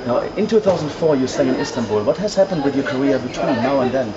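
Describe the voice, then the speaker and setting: Male interviewer, fast talk, upbeat with restarts, dull sound, crowd chatter. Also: medium pitch, expressive. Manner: brisk, upbeat, stumbling restarts. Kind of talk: interview questions. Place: busy press center.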